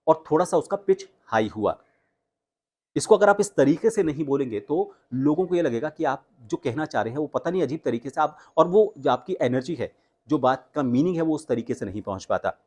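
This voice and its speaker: A man speaking as a voice coach, explaining techniques in an instructional way with deliberate emphasis on key words. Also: medium pitch, expressive, darkish tone, breathy edge. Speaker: voice coach and host. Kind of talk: instructional tutorial with spoken demonstrations.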